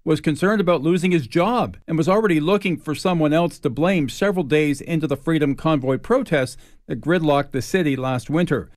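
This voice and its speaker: Higher-pitched male radio newsreader. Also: no echo, studio quality. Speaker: radio news anchor. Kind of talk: scripted radio news reading.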